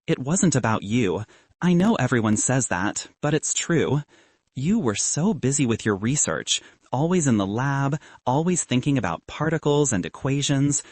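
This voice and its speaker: A man's voice: mid-range voice, sing-song, normal speed, no echo. A man voicing an apologetic young ex-girlfriend in an audiobook, explaining herself earnestly. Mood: earnest, pleading. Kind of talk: audiobook character dialogue.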